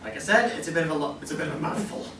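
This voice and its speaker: A man co-presenting a convention panel with casual, somewhat halting banter. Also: fairly high pitch, animated. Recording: echoey, noisy hall, distant microphone.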